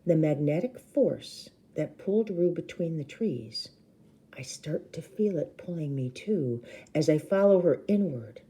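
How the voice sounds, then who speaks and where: A woman reading a children's novel aloud like a teacher, with expressive emphasis and brief explanations. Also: low voice, measured pace.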